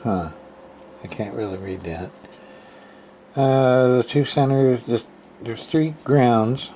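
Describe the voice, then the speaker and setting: Slow-talking man muttering through a repair. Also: mid-range voice, warm tone. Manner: rambling, muttering to himself, halting. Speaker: hobbyist radio repairer. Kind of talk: casual hands-on repair narration, thinking aloud. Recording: clear background noise, room ambience.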